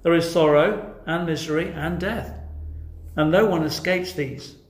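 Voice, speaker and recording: A man's voice: a little high, a little slow. A man with an English accent preaching a sermon earnestly, putting questions directly to his listeners. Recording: echoey, reverberant room sound.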